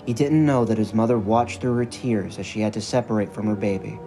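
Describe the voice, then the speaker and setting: A man's voice: fairly low pitch, fairly even intonation, fairly quick. A man narrating a scripted story for an audio drama. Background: music.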